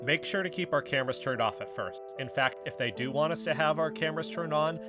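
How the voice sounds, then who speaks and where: A man's voice: on the high side, brisk, music in the background, natural voice. A man playing a suspicious, cautious amateur investigator in a scripted podcast drama.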